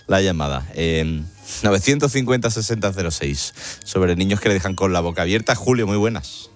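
A man hosts a radio call-in show with quick, jokey banter. His voice sits low but moves around a lot as he talks. Faint music plays under the broadcast. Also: expressive. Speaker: radio host. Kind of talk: radio phone-in hosting.

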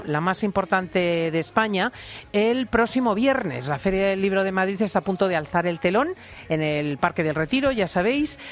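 Radio hostess from Spain, brisk and playful. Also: female voice, medium pitch. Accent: Spain Spanish. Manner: brisk, teasing, laughs often. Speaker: radio host. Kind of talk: lively radio talk show hosting.

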